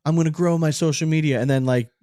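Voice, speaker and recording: A man's voice: fairly high pitch, fairly even intonation, fast, warm tone, no room sound, clean studio sound. A man co-hosting a podcast in casual, informal chat, loosely swearing here and there. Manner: informal, lightly profane. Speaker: podcast co-host.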